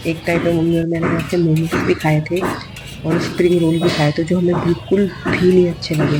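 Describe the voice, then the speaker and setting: A hoarse woman vlogger chatting casually in a voice-over about a shopping trip, repeating phrases for emphasis. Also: fairly low pitch, heavy background noise.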